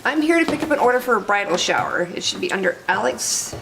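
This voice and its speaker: An actress playing an assertive young bride-to-be customer in a moralistic web drama. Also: female voice, mid-range voice, sing-song, fast talker, background noise. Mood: polite. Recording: music clearly under dialogue.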